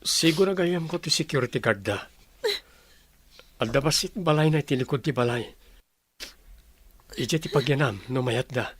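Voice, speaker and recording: Calm helpful ilocano big brother type, raspy-ish husky voice, radio play, dry sound. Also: male voice, medium pitch, moderate pace, pauses often, no room sound. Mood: calm advising. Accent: Ilocano Filipino. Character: calm, helpful older-brother figure, a household worker. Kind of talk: Filipino radio drama acting.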